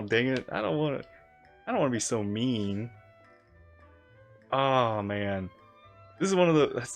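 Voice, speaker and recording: Animated male streamer reacting to a game. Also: mid-range voice. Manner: informal, halting asides. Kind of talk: casual live reaction commentary.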